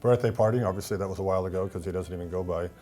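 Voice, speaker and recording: A man with a Boston accent talks quickly in a rambling, filler-heavy way. His voice sits low. He is chatting as a guest on a talk show. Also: dark tone, faint music behind. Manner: rambling, many fillers and restarts. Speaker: event center owner, guest. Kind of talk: casual TV talk show interview.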